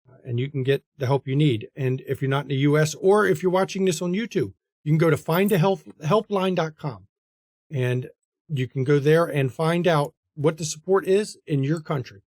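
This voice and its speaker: A man's voice: on the high side, normal speed, darkish tone, no room sound, studio quality. A man with an American accent hosting a game stream in a casual, chatty style. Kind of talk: casual chat and stream outro.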